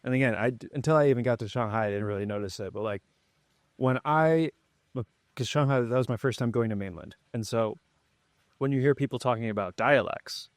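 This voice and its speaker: A man hosting a podcast, giving relaxed, rambling advice in casual conversation. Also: mid-range voice, fairly quick, no echo. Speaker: podcast host.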